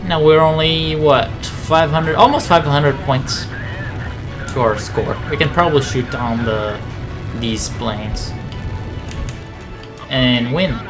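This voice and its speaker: Male gaming commentator, average pitch, informal and explanatory, talking over prominent game music. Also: slow, long pauses, low-quality recording. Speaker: video game player and commentator. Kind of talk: casual gameplay commentary. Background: game music and aircraft sounds.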